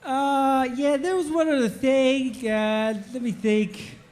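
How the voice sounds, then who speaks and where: A man voicing a dim, childlike, earnest soldier in an animated comedy table read, naive and a little halting. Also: very high voice. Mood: puzzled.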